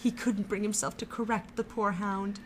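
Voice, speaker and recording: A woman reading the narration of an audio drama, calm and even, in a middle pitch. A faint background hiss sits under her voice. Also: normal speed. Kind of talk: storybook narration.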